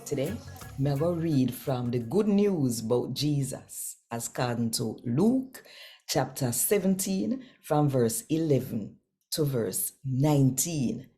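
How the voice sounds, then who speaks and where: Jamaican lady reading bible stories to viewers, low warm voice, takes her time. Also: lively intonation, slow pace, soft background music. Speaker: host reading scripture. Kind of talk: expressive scripture reading and direct address to viewers.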